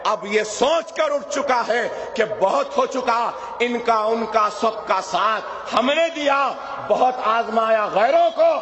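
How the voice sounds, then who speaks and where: A man giving an impassioned political rally speech, firing rhetorical questions and rising to shouts. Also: very high-pitched, few pauses. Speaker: political speaker. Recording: echoey hall sound, dull lo-fi.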